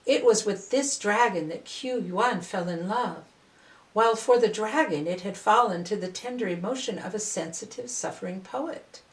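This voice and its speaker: An older woman reading a storybook aloud as a storyteller, with measured, deliberate phrasing. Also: mid-range voice, distant mic. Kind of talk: scripted storybook narration. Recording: roomy, echoing voice recording.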